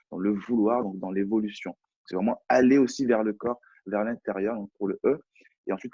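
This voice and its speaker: A man's voice: on the low side, dark tone, dry recording, lo-fi sound. A French man, a personal development coach, talking in a live online chat, hesitant and often repeating words. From France.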